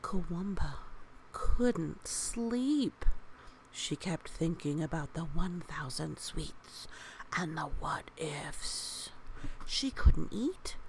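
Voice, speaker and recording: A woman reading a picture book aloud for young children, with gentle, expressive, chatty asides and questions. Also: mid-range voice, vocal fry, a little hiss or hum, low-quality recording.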